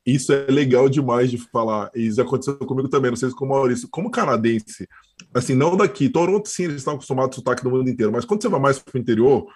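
Give me a fast-talking Brazilian podcast host with a husky voice. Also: male voice, mid-range voice, a little mellow. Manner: curious, asks follow-up questions. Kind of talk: casual interview chat.

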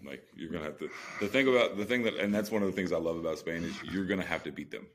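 A man's voice: sits low, racing, fairly bright tone. A man co-hosting a podcast, chatting casually about sports in slangy speech with emphatic repetition.

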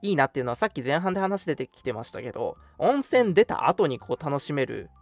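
A man's voice: fairly high pitch, big pitch swings, a little fast, faint music behind. A young man hosting a casual radio chat, talking to his co-host and listeners in a conversational, explanatory way. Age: young adult.

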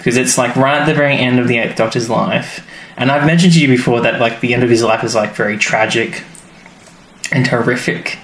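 A man's voice: mid-range voice, steady tone, a little fast, fairly bright tone. Chatty man in a roomy space. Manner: rambling, many fillers. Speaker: podcast co-host. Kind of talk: casual chat. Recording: roomy with faint noise.

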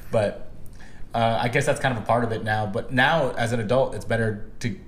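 A man's voice: medium pitch, fairly even intonation, brisk, echoey room, a little hiss or hum. A man in his late twenties, from the United States, co-hosting a casual podcast chat. He talks in a laid-back way, swears casually and leans on fillers. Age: late 20s. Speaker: podcast co-host.

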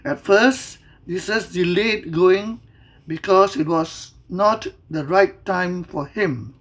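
A person reading a scripted Bible devotional and prayer in a measured, deliberate, reverent manner. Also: mid-range voice.

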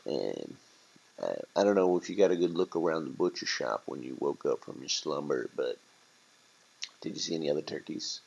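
A man's voice: a bass voice, animated, moderate pace, creaky, a little mellow, highs cut off, a little hiss or hum, pitched below the performer's own voice, exaggerated voice. A man voicing a jaded, laid-back street rat in a story, blunt but friendly and full of casual slang. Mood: blunt, matter-of-fact.

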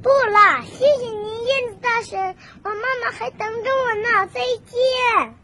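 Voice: very high voice, a little slow, bright, forceful tone, a little hiss or hum, exaggerated voice. An actor playing a polite, devoted young crow child in a children's radio drama. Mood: earnest, hurried. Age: child figure. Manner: polite, earnest, eager.

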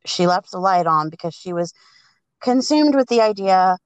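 A woman hosting a podcast, chatting casually and improvising a story with halting pauses between phrases. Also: lowish voice, lively intonation, no echo, lo-fi sound. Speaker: podcast host.

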